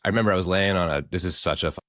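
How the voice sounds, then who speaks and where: Fast-talking deep-voiced hoarse man. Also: no echo, studio quality. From American Midwest. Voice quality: hoarse from screaming. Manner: expressive, rambling, swears freely. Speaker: therapist and podcast co-host. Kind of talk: casual podcast chat.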